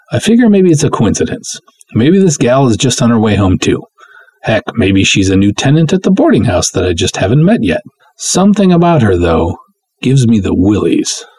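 Male reader as jittery young woman, spooky story narration, breezy retro slang, raspy edge, lively intonation. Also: medium pitch, dry recording. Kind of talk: dramatic first-person story reading with 1950s slang.

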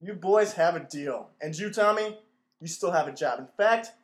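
A man playing a pompous, rambling retired admiral who runs a radio station, deadpan, in a mock old-time radio play. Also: high-pitched, moderate pace. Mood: blustering. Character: pompous, addled retired navy admiral and radio boss, male.

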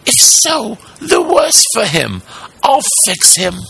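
A man voicing an excitable old carpenter in a storybook, muttering to himself in an exaggerated, easily frightened way. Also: high voice, slow pace, creaky, breathy edge, voice pushed up, exaggerated voice, poor audio. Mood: frightened, puzzled. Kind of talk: storybook character voice.

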